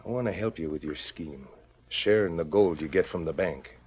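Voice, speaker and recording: Man playing a sly small-town telegrapher, blunt frontier talk, deep voice, muffled vintage radio. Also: moderate pace, slight background noise, lightly acted. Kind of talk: 1940s radio acting. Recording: dull lo-fi old radio recording.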